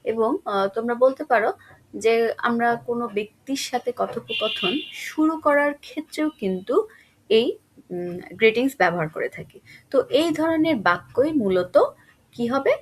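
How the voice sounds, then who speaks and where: A woman's voice: on the high side, expressive, unhurried, slightly rough, rough recording. A woman teaching schoolchildren in an explanatory, instructive way, often asking questions and answering them herself. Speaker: English grammar teacher.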